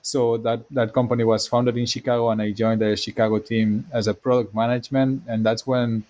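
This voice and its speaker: A man's voice: medium pitch, fairly quick. Spanish-accented man on a video call. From Spain. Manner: relaxed, speaks in long explanatory runs. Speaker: guest. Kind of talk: casual podcast chat.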